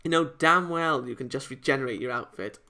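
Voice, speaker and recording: A man's voice: medium pitch, a little fast, bright, forceful tone. A man voicing an irritable, bossy warrior angel girl from a visual novel. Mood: annoyed.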